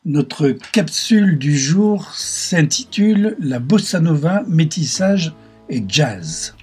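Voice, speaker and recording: A man's voice: on the high side, lively intonation, slow pace, music in the background. A man hosting a radio segment, introducing a columnist and chiming in briefly. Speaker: radio host.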